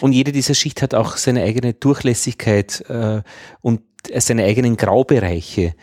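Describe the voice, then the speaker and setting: Guy musing on a podcast, relaxed mellow voice, halting, very dry sound. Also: mid-range voice, dry recording. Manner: musing, halting, self-correcting. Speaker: podcast host. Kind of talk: reflective casual conversation.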